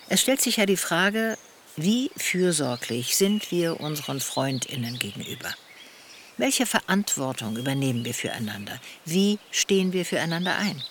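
A woman's voice: sits low, noisy background, exaggerated voice. Analytical older woman, birds behind. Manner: measured, analytical, articulate. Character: thoughtful older woman, an intellectual queer friend. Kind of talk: radio play dialogue, conversational essay.

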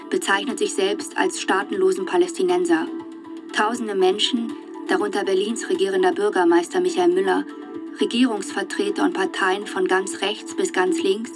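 A woman reading a scripted documentary narration for a radio play in a factual, measured way. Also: medium pitch, close to an everyday voice. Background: music.

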